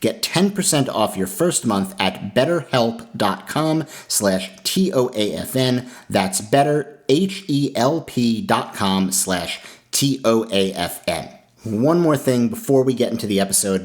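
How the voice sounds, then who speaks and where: Lower-voiced man hosting a podcast. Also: animated, measured pace. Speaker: podcast host. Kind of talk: podcast announcements and host-read sponsor spot.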